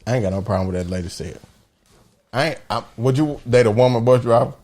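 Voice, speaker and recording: A man's voice: mid-range voice, fast talker, darkish tone. A man in casual, slangy podcast banter, blunt and profane. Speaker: podcast co-host.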